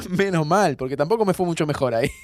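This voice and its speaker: An actor playing a playful, impulsive young man with an Argentine accent in an informal, expressive audio drama. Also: a little high, fairly quick, no room sound, natural voice. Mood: playful, teasing. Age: young adult. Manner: informal, expressive, breaks into restarts. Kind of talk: naturalistic audio fiction dialogue.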